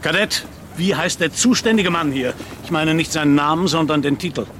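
An actor playing a persistent, politely ironic police commissioner in a naturalistic radio crime drama. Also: male voice, on the high side, a little fast, a bit bright, a little hiss or hum, lightly acted. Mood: sardonic, commanding. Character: male police commissioner, persistent, dryly sardonic.

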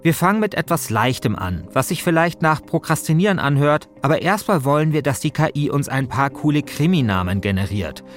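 A man's voice: fairly high pitch, big pitch swings, a little fast, hardly any pauses, faint music behind. A man hosting a podcast, reading scripted narration in a conversational way. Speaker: host and narrator.

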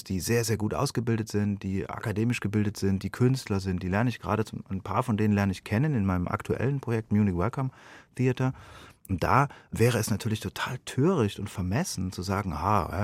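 A man from Germany speaks in a low register with a dry, close sound. He is a playwright being interviewed, and he talks in an earnest, reflective way, often questioning himself. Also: darkish tone, dry recording. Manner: thoughtful, earnest, self-questioning.

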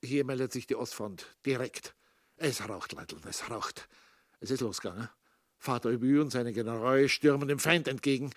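An actor playing a solemnly patriotic front-line radio reporter, announcing dramatically in a comic radio play. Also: male voice, medium pitch, moderate pace, faint music behind, lightly acted. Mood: excited, solemn.

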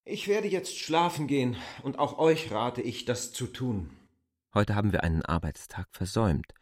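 A man's voice: mid-range voice, expressive, moderate pace, dry recording, clean studio sound, natural voice. An actor playing a stern, commanding male stranger who gives brusque, exacting orders in a literary radio drama. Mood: firm, insistent.

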